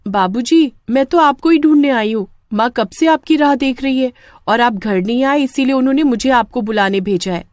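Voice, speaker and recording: Young village daughter woman acting: raised pitch, emphatic, emotional, spirited, dry studio sound. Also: normal speed, darkish tone, dry recording, natural voice. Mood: earnest. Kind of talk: Hindi cartoon horror story acting.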